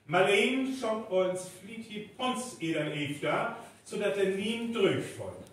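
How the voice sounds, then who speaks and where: A dramatic North Frisian storyteller , played by a man, narrates a moor tale in a radio play. He is slow and very animated, in a heavily echoing venue. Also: sits high, far from the mic. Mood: measured narration. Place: reverberant venue, distant microphone. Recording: very echoey live recording, faint noise.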